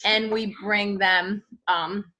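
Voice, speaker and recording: A woman from Peru, a podcast guest in casual interview chat, talking haltingly with many ums and restarts. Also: medium pitch, fairly even intonation, bright, forceful tone, low-quality recording.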